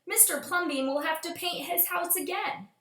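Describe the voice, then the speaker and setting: Woman acting gossipy neighbor, high-pitched, indignant, exclamatory, scandalized, children's storybook, echoey room. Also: moderate pace, bright, forceful tone. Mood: dismayed. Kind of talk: children's storybook read-aloud.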